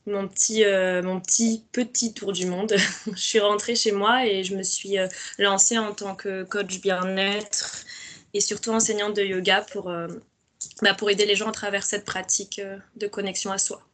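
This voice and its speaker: A woman's voice: mid-range voice, flat delivery, moderate pace, fairly bright tone. A woman speaking as a guest in a casual, reflective conversation, like a wellness coach.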